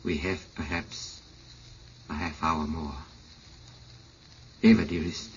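An actor playing a grave, devoted husband stranded in a strange world, earnest and dramatic in 1950s radio style. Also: male voice, very deep voice, fairly even intonation, slow pace. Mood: grave resolve. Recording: muffled, slightly noisy old radio recording.

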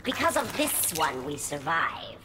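A woman's voice: deep voice, very animated, a little slow. A woman voicing a cruel, devoted insectoid warrior in a video game, menacing in manner. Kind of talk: video game combat intro dialogue. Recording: echoing game scene, clicks and noise.

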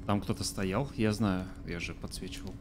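A man's voice: low voice, fairly even intonation, moderate pace, background noise. Chatty male streamer thinking aloud. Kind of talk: casual let's-play commentary. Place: small room indoors. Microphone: studio mic on arm by face.

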